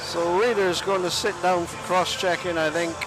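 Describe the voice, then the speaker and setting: Guy calling a hockey game live, chatty, stops to find words sometimes, music going loud behind him. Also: high voice, little pitch movement. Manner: chatty, self-deprecating, pauses to find words. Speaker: ice hockey commentator. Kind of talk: live play-by-play commentary. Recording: music clearly audible behind the voice.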